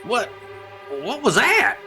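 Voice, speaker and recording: A man playing a grumpy old miserly rancher in an Old West radio play, with a sharp, forceful, folksy delivery. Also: very high-pitched, very animated, slow pace, vocal fry, soft background music, exaggerated voice. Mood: frightened, shaken. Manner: crotchety, scolding, folksy.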